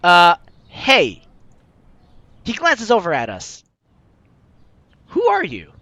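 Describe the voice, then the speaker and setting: A playful, slangy gaming host reading a visual novel aloud and reacting in an animated way. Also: mid-range voice, slow pace, no room sound. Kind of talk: lively let's play, reading aloud and reacting. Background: game music.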